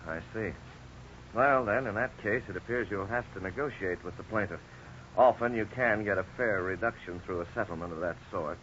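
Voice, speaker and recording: An actor playing a frank, courteous lawyer in a 1950s radio drama, speaking in a formal, measured, explanatory way. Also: male voice, fairly low pitch, a bit bright, natural voice. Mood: frank, reassuring. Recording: dull, noisy 1950s radio recording.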